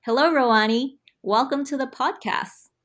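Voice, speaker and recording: A woman's voice: high-pitched, a little slow, fairly bright tone. A woman hosting an academic podcast, introducing her guest and asking friendly, encouraging questions. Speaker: podcast host. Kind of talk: scripted intro then interview questions.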